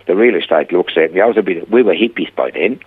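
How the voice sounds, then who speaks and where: Older Swiss man, rough, low voice, a guest acting out his own stories on radio. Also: fast talker, narrow, tinny audio. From Switzerland. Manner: animated storyteller acting out quoted dialogue. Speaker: guest telling his life story. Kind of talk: casual storytelling interview.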